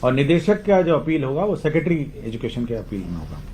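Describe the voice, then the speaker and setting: A man speaking as a government official, explaining rules at a briefing in a halting way that often rephrases. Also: mid-range voice, sing-song, a little mellow, low-quality recording. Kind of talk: explaining policy at a press briefing. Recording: faint background ambient noise.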